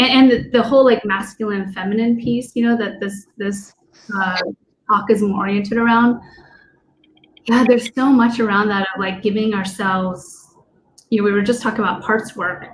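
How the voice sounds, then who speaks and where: A woman's voice: mid-range voice, steady tone, normal speed, a little hiss or hum, poor audio. A woman coach who teaches dance, talking loosely with lots of fillers and restarts on a video call panel. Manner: rambling, many fillers and restarts. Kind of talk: casual panel discussion.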